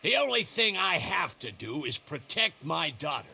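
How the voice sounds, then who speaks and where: Grumpy hostile old dad in a video game, high strained raspy voice, really harsh. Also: male voice, big pitch swings, moderate pace, bright, forceful tone, exaggerated voice. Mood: hostile, irritated. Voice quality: gruff, raspy. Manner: barking, insulting, confrontational. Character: belligerent bitter older father, gruff and hostile.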